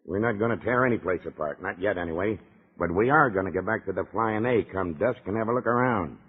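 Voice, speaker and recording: Low, husky cold outlaw boss. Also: male voice, quick pace. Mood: cold, scheming. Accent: American. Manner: hard, commanding. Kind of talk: 1950s radio serial acting. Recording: dull, reverberant old radio recording.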